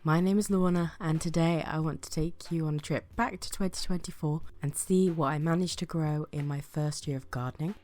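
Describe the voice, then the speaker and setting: A woman, sick with the flu and audibly breathy, chatting casually and self-deprecatingly as she narrates a gardening vlog. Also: deep voice, fairly even intonation, a little fast, few pauses, a little mellow. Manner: chatty, self-deprecating, with occasional whispered asides. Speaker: gardening vlogger.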